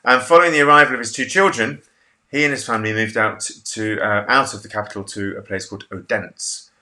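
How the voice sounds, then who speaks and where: A man's voice: on the low side, fairly even intonation, fast talker, bright, forceful tone. A man in his thirties hosting a casual video-call interview from a home office, chatty, jokey and self-deprecating. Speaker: interview host.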